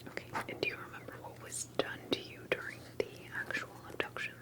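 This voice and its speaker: Asmr lady doing an interview roleplay, all breathy whispering, careful and soft. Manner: soft-spoken, deliberate, questioning.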